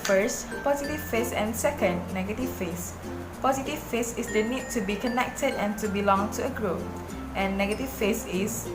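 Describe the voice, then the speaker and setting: A woman voicing a female voice assistant reading out search results in an even, flat way. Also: medium pitch, moderate pace, roomy sound, music in the background, close to an everyday voice. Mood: informative.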